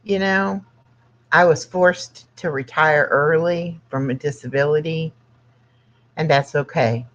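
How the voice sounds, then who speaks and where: A sixty-year-old livestream host chatting casually and reading aloud in a plainspoken, halting way, with pauses between phrases. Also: medium pitch, fairly bright tone. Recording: dull lo-fi livestream audio.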